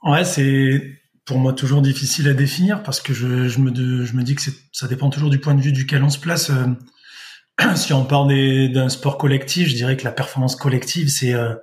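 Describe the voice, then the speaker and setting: A man in his thirties from the south of France answers interview questions, often pausing and restarting his sentences. His voice sits at an ordinary middle pitch, and he speaks like a guest explaining his work. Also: steady tone. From southern France, Vaucluse. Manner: hesitant, many fillers and restarts. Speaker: guest, sports dietitian-nutritionist.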